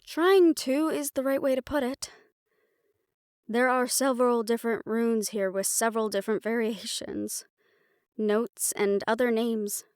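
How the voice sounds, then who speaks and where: A woman's voice: a little high, steady tone, unhurried, darkish tone, clear, resonant tone, dry recording, studio quality, close to an everyday voice. A woman playing a confident, scheming female magic researcher in a scripted fantasy audio roleplay. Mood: explanatory, eager.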